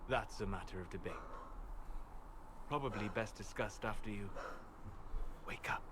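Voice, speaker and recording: A man playing a calm, scholarly elven mage in a video game, speaking in a grave, measured, formal way. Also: fairly low pitch, a little hiss or hum, close to an everyday voice. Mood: solemn, cryptic. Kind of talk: video game dialogue acting.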